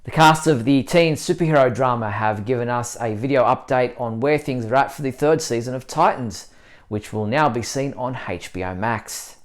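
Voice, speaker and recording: Male host for a scripted news bulletin, medium pitch, clearly enunciated presenter read. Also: normal speed.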